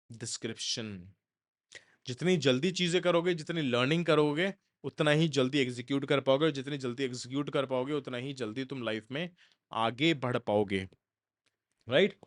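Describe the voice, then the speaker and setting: A man's voice: medium pitch, normal speed, no room sound, clean studio sound. A young man giving a motivational self-help lecture, talking straight at the listener and repeating points for emphasis. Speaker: self-help course instructor.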